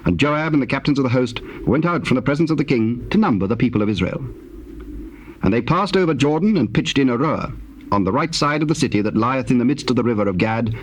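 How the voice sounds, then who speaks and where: A man narrating scripture from the King James Bible in a formal, measured storytelling manner. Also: mid-range voice. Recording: old cassette tape, faint background noise.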